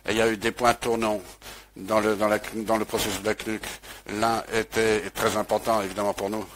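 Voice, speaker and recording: A man's voice: on the low side, flat delivery, brisk, a bit bright, a little hiss or hum. A man interpreting a webinar talk live, hesitant, with many fillers and restarts.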